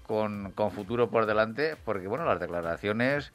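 A man hosting a casual cycling podcast, reasoning aloud with many halting restarts. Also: on the low side, animated, fairly bright tone, soft background music. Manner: hesitant, full of restarts and fillers while building an argument. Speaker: podcast host. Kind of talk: casual chat about cycling news.

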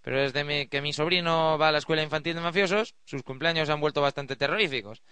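A man's voice: fairly high pitch, fast, sharp tone, clean tone, no echo. A man narrating a parody advertisement in an upbeat announcer style.

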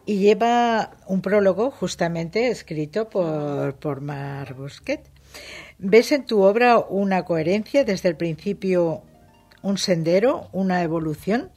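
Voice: mid-range voice, expressive, takes it slowly, darkish tone. A radio interviewer asking questions in a courteous, inquisitive way.

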